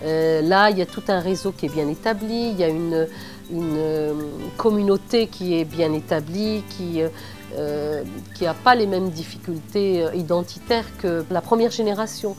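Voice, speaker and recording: A middle-aged woman from Morocco who is an artist, speaking thoughtfully in an interview with frequent hesitant pauses. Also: fairly low pitch, darkish tone, faint music behind. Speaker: artist and cultural organizer. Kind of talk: reflective interview.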